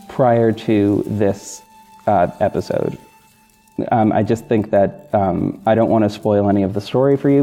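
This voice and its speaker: A man hosting a podcast, speaking informally and haltingly to listeners, often stopping and restarting his thoughts. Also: lowish voice, lo-fi sound. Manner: hesitant, halting, self-interrupting. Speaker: podcast host, audio drama narrator and producer. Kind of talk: informal behind-the-scenes solo talk to listeners. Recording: soft guitar music bed under voice. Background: plucked guitar music.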